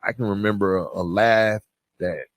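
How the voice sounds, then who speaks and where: A man's voice: on the low side, steady tone, normal speed, dry recording. A man hosting a podcast, speaking in a conversational, motivational way and addressing listeners directly, leaning on words for emphasis. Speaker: podcast host.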